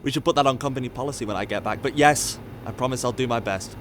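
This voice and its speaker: A cocky scientist played by a male actor in an audio drama, brash and impatient. He talks at a middle pitch with sarcastic, dismissive edges, over clear background noise. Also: fast talker, lightly acted. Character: cocky impatient time-travelling scientist, grown man.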